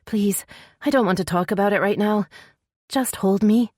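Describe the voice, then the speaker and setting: Woman voicing a high, pleading, tearful princess. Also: normal speed, dry recording, clean studio sound, close to an everyday voice. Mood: desperate, pleading. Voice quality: soft, shaky, higher-pitched voice. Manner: pleading, sorrowful. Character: tearful, pleading young supernatural princess, desperate but resolute. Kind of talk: dramatic romance audiobook dialogue.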